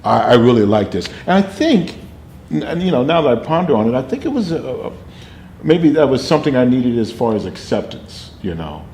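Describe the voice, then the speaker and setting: An older man, an actor and drama teacher, telling animated stories from his life in an interview with a booming voice. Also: fairly quick. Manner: animated storyteller, laughs often. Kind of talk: interview storytelling. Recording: roomy with faint noise.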